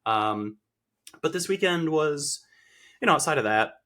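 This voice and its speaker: A man's voice: on the high side, animated, unhurried, bright, forceful tone. A man co-hosting a fitness podcast, stuffy and nasal from a cold, chatting casually with lots of fillers and restarts. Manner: rambling, filler-heavy, self-correcting. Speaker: podcast co-host, fitness coach. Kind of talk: casual chat.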